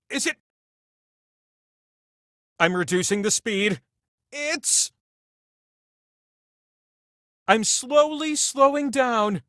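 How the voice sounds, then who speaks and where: Giddy talking wheel game show host, super high bright voice, sassy and campy, dry studio sound. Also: male voice, no echo, heavily acted. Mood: suspenseful, excited. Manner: exuberant, campy, drawn-out dramatic phrases with chatty asides. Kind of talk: theatrical game show hosting.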